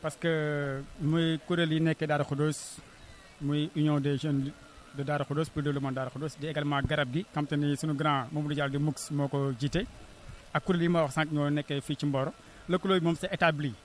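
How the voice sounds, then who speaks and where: A man's voice: a little high, level tone, quick pace. A Senegalese man giving an interview answer as a community association organiser.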